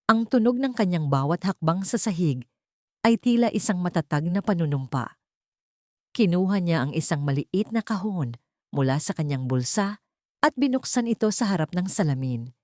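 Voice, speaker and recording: A woman narrating a scripted romance audio drama in a measured, solemn, dramatic way. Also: lowish voice, lively intonation, a little mellow, no echo, clean studio sound. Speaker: story narrator.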